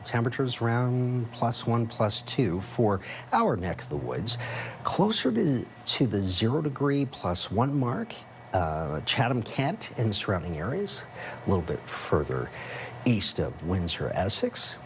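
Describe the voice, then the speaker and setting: A man's voice: mid-range voice, sing-song, measured pace. A man presenting an ad-libbed weather forecast as a TV meteorologist. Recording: clear background noise.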